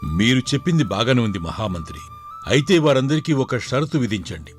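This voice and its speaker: A man voicing a caring, authoritative older king in a moral story, with a measured, formal manner. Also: medium pitch, animated, faint music behind, close to an everyday voice. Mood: stately, deliberate. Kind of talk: dramatized Telugu moral story.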